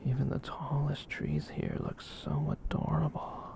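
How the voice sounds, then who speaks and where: A performer voicing a gentle, soft-hearted giant catboy in a breathy whispered ASMR comfort roleplay, tender and hesitant as they ramble. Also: mid-range voice, very animated, measured pace, a little hiss or hum. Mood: bashful, gentle.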